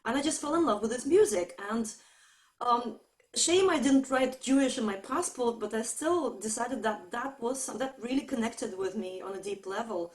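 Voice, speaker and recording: Chatty woman, higher voice. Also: lively intonation, poor audio. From Russia. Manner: chatty, pauses with fillers. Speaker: guest musician. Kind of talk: casual interview answers. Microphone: video call.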